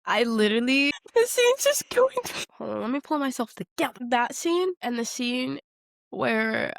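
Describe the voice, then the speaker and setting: A woman's voice: on the high side, very animated, moderate pace, vocal fry, no echo. A young woman co-hosting a podcast, talking about films in a casual, candid way with youthful slang. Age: young adult. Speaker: podcast co-host. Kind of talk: casual film discussion chat.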